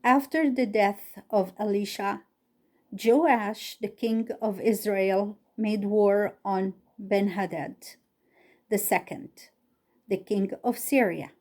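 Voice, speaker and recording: Woman, English as second language, Bible story reading, very slow, mellow tone. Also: medium pitch, fairly even intonation. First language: not English. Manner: careful, halting reading with pauses between phrases.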